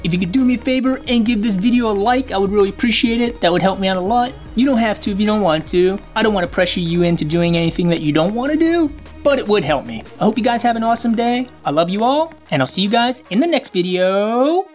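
A woman's voice: medium pitch, animated, fast, a little mellow. Casual woman hosting, music behind. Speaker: host of a gaming roleplay channel. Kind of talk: casual vlog outro.

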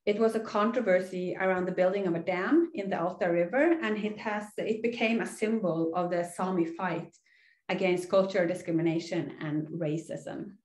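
A woman's voice: lowish voice, fairly even intonation, moderate pace, a little mellow, echoey room. A middle-aged woman with a Norwegian accent gives a recorded online lecture over slides, earnest and explanatory, with frequent "uh" fillers. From Norway. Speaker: university lecturer. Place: small indoor room.

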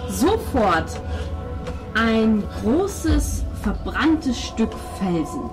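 A woman's voice: fairly high pitch, big pitch swings, extremely slow. A woman, narrating a tabletop role-playing game as game master. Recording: loud music under the table talk. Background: music.

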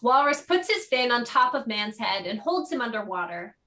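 A woman's voice: fairly high pitch, sing-song, normal speed, bright, forceful tone. A woman giving scripted narration, reading out the stage directions of a play.

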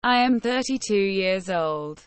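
A woman reading short English phrases for learners, slow and careful, evenly spaced. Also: medium pitch, expressive, clean tone, dry recording.

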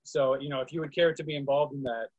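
A man's voice: mid-range voice, fairly even intonation, fast, no room sound, dull audio. A man moderating a casual group discussion over a video call, speaking as the host. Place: indoors, online video call. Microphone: video call microphone.

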